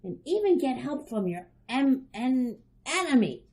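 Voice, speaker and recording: A woman playing a headstrong, impatient little girl in a radio drama, loud, definite and childishly eager. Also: high voice, big pitch swings, unhurried, exaggerated voice. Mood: eager confiding. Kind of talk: old-time radio drama acting.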